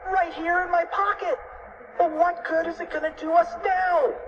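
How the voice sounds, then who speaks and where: A man voicing an excitable tiny schoolboy from a storybook, with a shrill, exclamatory delivery. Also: moderate pace, far from the mic. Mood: urgent. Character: excitable, panicky little schoolboy, an inch tall. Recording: noisy, muffled lo-fi audio.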